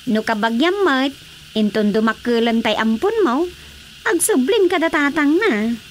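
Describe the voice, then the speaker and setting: A woman's voice: sits high, animated, a little slow, a little hiss or hum. A woman playing a blunt, teasing, childless village wife in an Ilocano radio drama comedy, with an Ilocano accent. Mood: plaintive.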